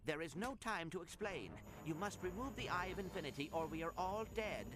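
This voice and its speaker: A man's voice: high voice, animated, a little fast. A man voicing a small, polite robot secret agent in a video game, earnest, formal and precise. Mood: urgent. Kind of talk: scripted video game cutscene acting. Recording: loud music behind the voice.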